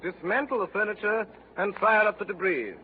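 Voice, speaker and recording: A man playing a formal, unflappable English gentleman in a radio drama, clipped and precise. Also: high voice, fairly quick, over music, natural voice. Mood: composed. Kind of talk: 1940s radio drama acting. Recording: tinny old radio broadcast, faint hiss.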